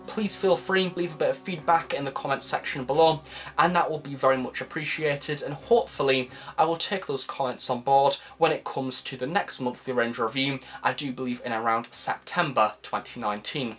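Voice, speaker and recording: Man narrating a formal scripted review. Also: mid-range voice. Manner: measured, formal phrasing with frequent emphasis. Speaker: audio drama reviewer.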